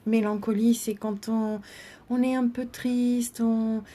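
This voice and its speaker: A woman's voice: fairly high pitch, little pitch movement, slow pace, mellow, a little hiss or hum. A woman informally teaching and translating between two languages, explaining things in an encouraging way as she guides a reading. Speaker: host guiding a child's reading and translating. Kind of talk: informal bilingual teaching, chatting with live viewers.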